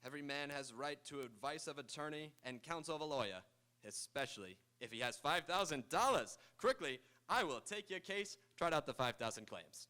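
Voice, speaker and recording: A man's voice: a little high, expressive, fairly quick, bright, forceful tone. A man playing a brash, shameless shyster lawyer in a live old-time radio comedy, wisecracking and mock-pompous. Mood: mock-grand, scheming.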